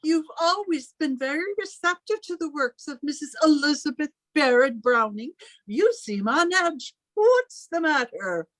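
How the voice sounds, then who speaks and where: A commanding, graying elder sister from an old radio drama, her high voice measured and reproachful. She speaks formally and possessively, in a very dry, close sound. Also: lively intonation, fairly bright tone, no room sound, rough recording. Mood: suspicious, scolding.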